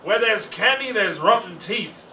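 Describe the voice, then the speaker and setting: A man's voice: high voice, expressive, measured pace, sharp tone, full character voice. A man voicing a stubborn, greedy pirate captain who exclaims in pirate talk, from a children's storybook. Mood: scheming, insistent. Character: stubborn, greedy, grumbling male pirate captain hungry for gold. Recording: roomy, distant mic, faint noise.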